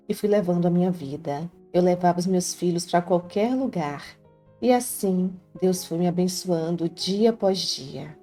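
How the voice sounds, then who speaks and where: Brazilian woman narrator, low and warm, earnest first-person telling over faint music. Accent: Brazilian Portuguese. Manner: earnest, heartfelt confiding tone. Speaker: storyteller. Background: faint background music.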